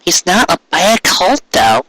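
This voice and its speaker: A man voicing a soft-spoken, loyal female pony office assistant in a storybook, gentle and pleading. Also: high voice, big pitch swings, measured pace, no echo, pitched above the performer's own voice, heavily acted.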